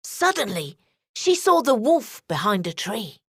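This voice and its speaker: Woman storyteller, high voice, expressive bedtime-story narration, unhurried, dry close sound. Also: slightly rough, dry recording. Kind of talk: scripted children's story narration.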